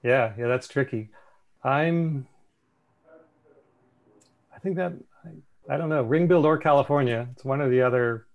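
A man's voice: medium pitch, unhurried, long pauses. A man casually presenting a slideshow on a video call. He thinks aloud, with fillers and restarts. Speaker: meeting presenter.

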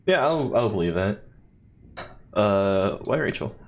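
A man's voice: lowish voice, lively intonation, slow. A young man giving casual, sarcastic commentary as he plays a game, muttering wry reactions. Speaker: let's player.

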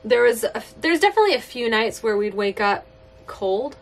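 Young woman, higher voice, chatty and giggly, says like a lot, heard a bit off-mic. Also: sing-song, normal speed, recorded from a distance. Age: young adult. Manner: chatty, giggly, uses "like" often. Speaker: sailboat-living vlogger. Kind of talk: casual chat. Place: small cozy sailboat cabin, indoors.

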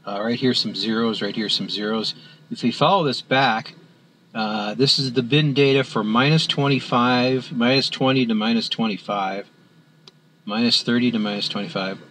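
A man walking through a spreadsheet on screen as an instructor, talking in a halting way with many fillers and restarts. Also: mid-range voice, a bit bright, a little hiss or hum, poor audio. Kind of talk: screen-recorded software walkthrough.

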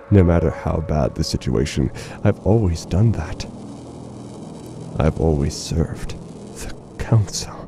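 A man acts out a blinded, unhinged announcer from a dystopian horror drama. His voice is very low and slow, with halting, theatrical formality and a brooding, wounded edge. A clear rumbling noise runs behind him. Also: mellow, exaggerated voice. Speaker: voice actor playing a radio announcer. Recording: noisy rumbling ambient bed under the voice.

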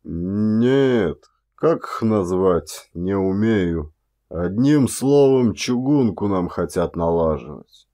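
A man acts out a taciturn village hunter for an audiobook. He grumbles in short, reluctant phrases, slow and fairly low. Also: expressive, dark tone. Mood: evasive, reluctant. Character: sturdy, taciturn Siberian peasant hunter, grumbling, evasive.

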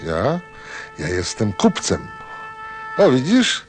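A man's voice: mid-range voice, huge pitch swings, slow pace, faint music behind. A man playing an eager merchant in an audio play, with theatrical, sing-song sales patter. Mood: eager, salesman-like.

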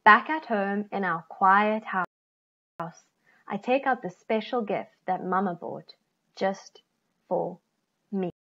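A middle-aged woman reading a children's picture book aloud, expressively, with deliberate phrasing and stressed key words. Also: mid-range voice. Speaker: children's story reader. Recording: slightly dull, lo-fi computer recording.

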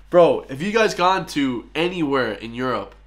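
A man's voice: medium pitch, lively intonation, measured pace. A young Irish man chatting casually in a small room as he reacts to a video, often calling out to "guys". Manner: chatty, keeps addressing "guys". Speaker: YouTube reactor.

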